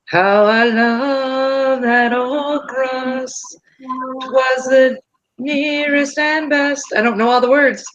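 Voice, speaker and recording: A woman with a smoker's voice, chatting and singing hymns in an unhurried way over a video call, humming when she forgets words. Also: high voice, fairly even intonation, fairly bright tone, muffled recording, a little hiss or hum. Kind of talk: casual chat and singing hymns.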